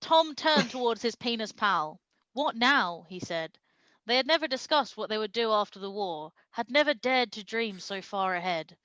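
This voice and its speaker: A woman's voice: fairly high pitch, animated, moderate pace, fairly bright tone, dry recording, dull audio. A woman reading a comic story aloud with dramatic storytelling delivery and amused asides. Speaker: reader of comic fan fiction at a live stream show.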